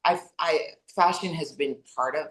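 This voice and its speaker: A woman, a native Dutch speaker, answering interview questions about her acting work in a lively, chatty way with many restarts. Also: low voice, normal speed. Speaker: actress and executive producer. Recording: roomy echo.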